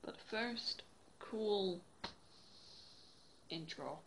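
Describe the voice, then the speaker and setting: A woman's voice: medium pitch, expressive, very slow, very noisy. A young woman from England chatting casually to a phone camera held at arm's length, rambling with lots of fillers. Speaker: vlogger. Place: bedroom, then indoors at home.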